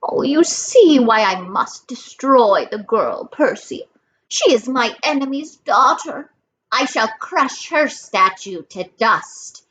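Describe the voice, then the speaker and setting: Woman voicing a slow, purring old female monster. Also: a little high, lively intonation, a bit bright, a little hiss or hum, poor audio. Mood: coaxing menace. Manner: slow, purring, coaxing, menacing when angered. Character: ancient female monster posing as a kindly grandmother.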